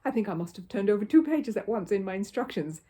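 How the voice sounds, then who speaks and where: A woman's voice: mid-range voice, lively intonation, fast, dark tone, lightly acted. A woman voicing a young male storybook bear, polite, earnest, hesitant and a bit hapless. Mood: unhappy, apologetic.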